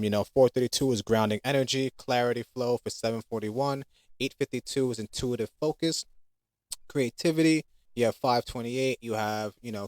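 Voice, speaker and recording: A man with a fairly low voice talks casually through a demo of something he built. His delivery is informal and conversational, unscripted rather than read. The sound is close and dry, with little room around it. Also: no room sound. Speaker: app creator giving a product demo.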